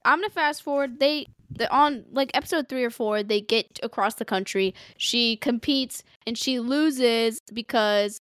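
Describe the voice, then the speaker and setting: A woman co-hosting a casual anime recap chat, expressive and blunt, swearing freely in slangy talk. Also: fairly high pitch, measured pace, hardly any pauses, dry recording, clean studio sound. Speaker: podcast co-host recapping an anime.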